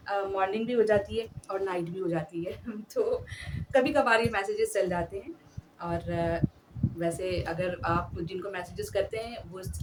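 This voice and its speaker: Woman giving etiquette advice from afar. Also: medium pitch. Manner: earnest, addresses listeners politely with fillers. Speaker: host. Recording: distant mic with clear background noise.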